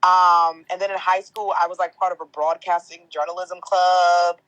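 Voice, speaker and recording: A woman's voice: little pitch movement, normal speed, fairly bright tone, no echo, low-quality recording. A young woman on a podcast, a chatty entertainment host who laughs often and tells stories in slangy, casual talk. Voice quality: deeper natural voice. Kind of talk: casual interview chat.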